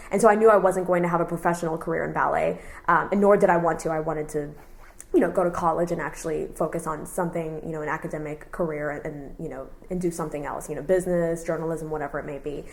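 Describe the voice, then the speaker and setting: A woman in her thirties from Texas, whose first language was Cantonese, answers questions in an oral history interview. Her voice sits a little low, and she talks in a loose, rambling way, stringing thoughts together with plenty of fillers. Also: quick pace. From Houston, Texas. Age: 30s. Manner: relaxed, rambling, many "you know" fillers.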